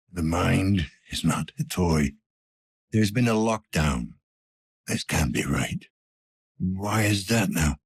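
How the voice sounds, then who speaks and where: An actor playing a weary, gruff older police detective in a cyberpunk video game, with a gravelly, breathy rasp and a terse, dry manner. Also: male voice, extremely low pitch, exaggerated voice. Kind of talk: scripted game character dialogue.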